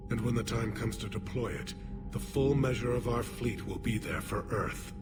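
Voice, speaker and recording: A man voicing a dignified alien military leader in a video game, speaking in a formal, measured, authoritative way. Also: medium pitch, over loud music, natural voice. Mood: solemn, resolute. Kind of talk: dramatic video game acting.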